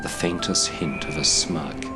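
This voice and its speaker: A man narrates a drama script in a deep, low voice. Loud music plays behind his scripted delivery. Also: steady tone, a little slow.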